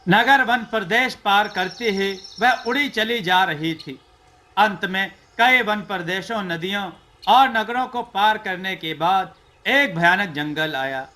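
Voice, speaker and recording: A man narrating a scripted folk tale in a measured, declamatory storyteller's way. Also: high voice, sharp tone.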